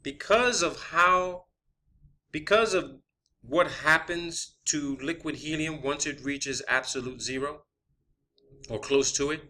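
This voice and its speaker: Male online host, forceful bright tone, unscripted explainer, emphatic and repetitive for stress. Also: fairly high pitch, slow pace, slight background noise. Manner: emphatic, repeats phrases for stress. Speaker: video channel host.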